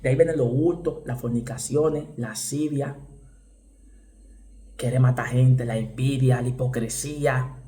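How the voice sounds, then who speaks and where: A man from the Dominican Republic with a Dominican accent, preaching a fervent sermon, repeating phrases for stress and breaking into sudden shouted exclamations. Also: medium pitch, steady tone, a little slow, echoey room. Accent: Dominican Spanish.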